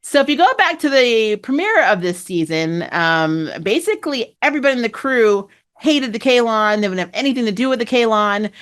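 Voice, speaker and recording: A woman's voice: on the high side, animated, a little fast, fairly bright tone. A woman hosting a fan review, casually recapping a TV show with chatty, slangy, gushing enthusiasm and plenty of opinion. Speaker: host of a fan TV review channel.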